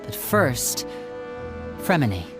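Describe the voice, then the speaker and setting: An earnest young older brother in a video game, mid-pitched and articulate. He sounds protective and reassuring, with music clearly underneath. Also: very animated, takes it slowly. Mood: pensive, planning.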